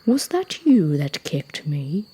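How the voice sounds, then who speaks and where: A woman voicing a huge, gentle, courteous male elephant with a slow, reassuring delivery in a children's storybook. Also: sits low, very animated, slightly rough, voice pushed down, exaggerated voice. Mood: friendly, reassuring.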